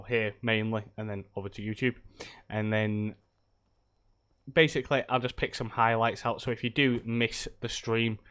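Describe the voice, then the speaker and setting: A man with a croaky voice from a cold, chatting casually and rambling as he streams himself playing a game at his computer. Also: on the low side, fairly bright tone. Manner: rambling, loose, many hesitations. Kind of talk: casual live-stream chat while gaming.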